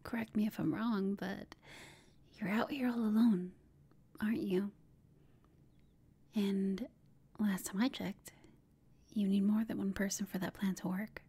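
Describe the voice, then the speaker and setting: A woman playing a flirtatious, smug female villain who taunts an enemy in a breathy whispered ASMR roleplay. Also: mid-range voice, fairly even intonation, warm tone. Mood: mocking, amused. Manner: slow, teasing, smug.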